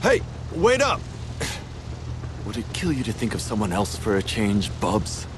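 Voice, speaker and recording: A man's voice: fairly low pitch, huge pitch swings, measured pace, noisy background. Wildly swooping, flirtatious male villain. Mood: mocking. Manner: flirtatious, mocking, theatrical. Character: teasing, decadent, smooth-talking male villain. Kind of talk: video game cutscene acting.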